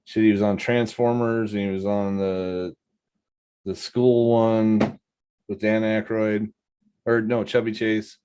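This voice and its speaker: A man with a fairly low voice who talks slowly and easily. He chats casually with friends, mostly tossing in short replies and laughing along. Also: little pitch movement, warm tone, clean tone. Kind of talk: casual group chat.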